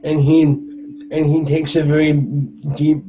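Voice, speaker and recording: Slow, mellow-voiced man narrating. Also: a little high, flat delivery, slight background noise, rough recording. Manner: halting narration with restarts. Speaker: game master. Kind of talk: narrating a tabletop roleplay.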